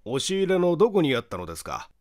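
A man's voice: fairly high pitch, huge pitch swings, quick pace, a little mellow, no room sound, studio quality, voice pushed up. A man voicing an authoritative prosecutor who questions witnesses curtly in an audiobook mystery. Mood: probing, curt. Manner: curt, official, probing.

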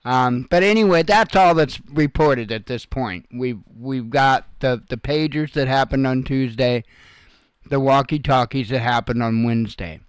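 A man's voice: medium pitch, unhurried, clear, resonant tone, no room sound. A man hosting informal, unscripted news commentary in a blunt, folksy way with some salty language. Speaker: host of an online news commentary channel.

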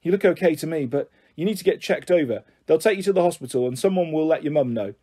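Man doing shocked bus driver in a kids story, rambly everyday talk, highish mellow husky. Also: expressive, fast talker. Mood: reassuring. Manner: colloquial, rambling.

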